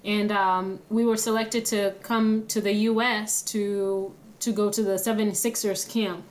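A young woman from Kenya, a guest telling her own story in a friendly, steady way. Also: medium pitch, a little slow. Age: young adult. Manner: friendly, steady, laughs easily. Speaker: guest, app founder.

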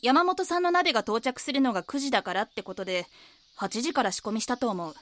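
An actress playing a frank, slightly defensive diner owner in an audio drama, speaking casually. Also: female voice, on the high side, quick pace, a bit bright, natural voice. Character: plain-spoken woman running a local diner, defensive, frank.

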